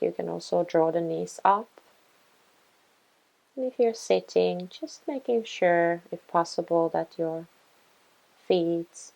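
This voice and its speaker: A woman guiding a body scan meditation in a gentle, soothing, unhurried way, leaving pauses between phrases. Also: fairly low pitch, gravelly, highs cut off. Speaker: meditation guide.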